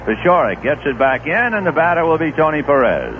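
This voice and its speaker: A man doing live play-by-play for a baseball radio broadcast, telling relaxed anecdotes between pitches, on a tinny old radio recording. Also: fairly quick. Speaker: play-by-play announcer. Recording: tinny lo-fi 1970s radio broadcast with faint hiss.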